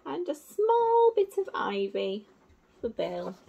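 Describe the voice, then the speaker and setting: Woman telling a slow, lively children's story. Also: high-pitched, vocal fry, phone-quality sound. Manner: simple, expressive, child-directed phrasing. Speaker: teacher reading a picture book to young children. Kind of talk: storytelling to small children with explanatory asides. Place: small indoor room at home.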